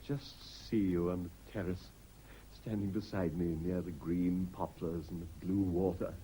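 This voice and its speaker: An actor playing an anxious, guilt-ridden husband in a radio drama, hesitant, stammering and earnest. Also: male voice, sits low, steady tone, measured pace, mellow, slight background noise, poor audio. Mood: wistful, hushed. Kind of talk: British radio drama acting.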